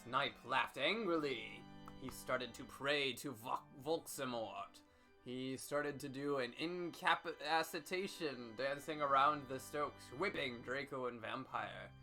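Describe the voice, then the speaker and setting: Bright-voiced man giving theatrical reading. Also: medium pitch, slow pace, soft background music. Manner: theatrical, emphatic, with sudden shouts. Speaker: host reading fan fiction aloud. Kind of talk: over-dramatic comedic reading.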